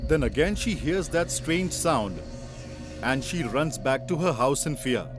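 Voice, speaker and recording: A man's voice: medium pitch, expressive, a little slow, over music. A man narrating a children's story as a scripted read.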